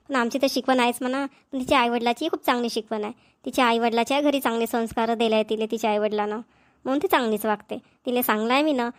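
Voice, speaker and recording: Woman acting talkative older village matriarch, high-pitched. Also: lightly acted. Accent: Vidarbha Marathi. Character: hospitable, talkative older village mother-in-law. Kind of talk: amateur cartoon voice acting.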